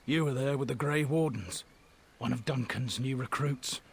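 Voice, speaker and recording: An actor playing a guilt-ridden dying soldier, once a king's guard, speaking gravely and haltingly in a fantasy video game. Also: mid-range voice. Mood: grieving, bitter.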